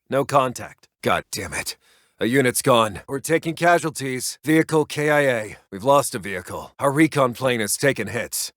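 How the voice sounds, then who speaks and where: A man's voice: medium pitch, a bit bright, no room sound, close to an everyday voice. Man acting a clipped American army intelligence officer, dry close sound. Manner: clipped, urgent, soldierly reporting. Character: American army intelligence officer, brisk, grave, battle-weary. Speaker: voice actor for a military strategy game. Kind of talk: scripted military radio-report game voice lines.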